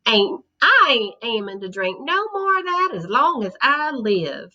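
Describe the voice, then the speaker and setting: A woman voicing a tiny toy cowboy come to life, a gruff, self-pitying drinker with a drawling Texas accent, on a slightly dull recording. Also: fairly high pitch, sing-song, a little slow, sharp tone, voice pushed up, exaggerated voice. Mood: blustering. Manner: drawling, ungrammatical, blustering.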